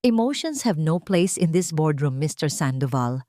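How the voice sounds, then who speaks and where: A woman's voice: low voice, big pitch swings, normal speed, mellow, dry recording, studio quality. A woman voicing a cold, ruthless male billionaire boss in an audio story, clipped and commanding. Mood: cold, cutting.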